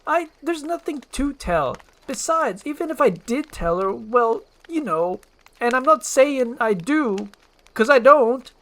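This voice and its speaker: Woman as male cartoon ogre: bashful, defensive, halting, with big pitch swings. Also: sing-song, exaggerated voice. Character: awkward, defensive male ogre, secretly lovestruck. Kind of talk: animated film script read aloud.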